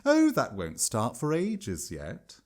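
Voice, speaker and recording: A man's voice: medium pitch, huge pitch swings, slow pace. A man voicing a smug, chattering imperial minister in a storybook, breezy and dismissive.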